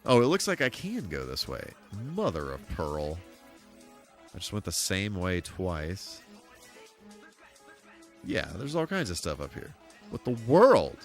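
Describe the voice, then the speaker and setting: American man, low voice, casual livestream commentary, good-humored, rambling, music underneath. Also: huge pitch swings, a little slow, pauses often. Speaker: live streamer. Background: game music.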